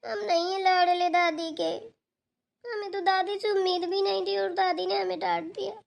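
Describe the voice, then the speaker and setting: Cartoon sulky young daughter-in-law, played by a woman: extremely high pitch, whiny, stubborn, childlike. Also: level tone, moderate pace, creaky. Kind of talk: Hindi village family cartoon acting.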